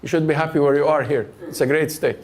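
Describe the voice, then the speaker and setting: A man's voice: on the high side, fairly even intonation, fast. A man giving a public lecture and taking questions, thoughtful, often restarting his phrases. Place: lecture hall.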